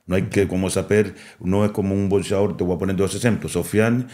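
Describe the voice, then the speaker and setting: Middle-aged man with a thick Cuban accent, very low, mellow voice, halting speech with restarts and repeated words. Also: fairly even intonation. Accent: Cuban Spanish. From Cuba. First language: Spanish. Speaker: national boxing coach. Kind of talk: interview, mixing French and Spanish.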